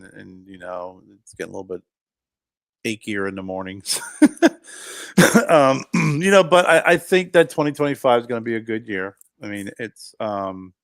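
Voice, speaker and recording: Reflective low-voiced man in his fifties. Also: dry recording, studio quality. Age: 50s. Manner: rambling, restarts and trails off, reflective. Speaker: podcast co-host. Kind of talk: casual chat.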